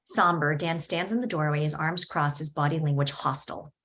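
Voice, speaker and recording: A woman reading screenplay stage directions at a table read in a steady, descriptive way. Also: deep voice, fairly quick.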